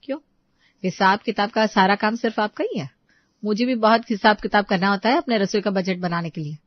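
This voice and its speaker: A woman's voice: medium pitch, fairly quick, no echo, natural voice. Woman playing young indian housewife in a family story, dutiful n fretting, dry muffled recording. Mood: bantering. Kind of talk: acted Hindi family story dialogue.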